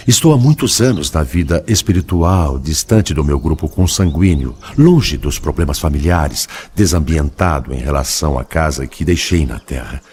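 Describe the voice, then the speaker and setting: An actor playing a sorrowful, resigned male spirit and devoted father in an audio drama, with measured, dramatic delivery. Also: extremely low pitch, a little mellow, heavily acted. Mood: troubled, resolute. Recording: clearly noisy background.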